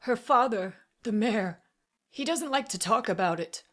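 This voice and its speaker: A woman voicing a short, frightened, tearful man who pleads in halting gasps, in an audiobook. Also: a little high, animated, pitched above the performer's own voice. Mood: sorrowful. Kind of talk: audiobook character voice.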